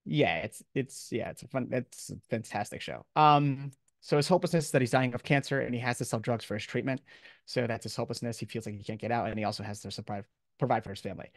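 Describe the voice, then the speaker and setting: A man's voice: mid-range voice, fast talker, no room sound, studio quality. A man giving an informal lecture as a professor, talking in a casual, off-the-cuff, conversational way.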